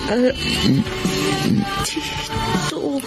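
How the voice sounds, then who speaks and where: A young woman hopelessly in love in a Filipino radio drama. Her delivery is emotional, breathy and tremulous. Music plays clearly beneath her. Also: high-pitched, very slow. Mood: shy, loving. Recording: music under the dialogue.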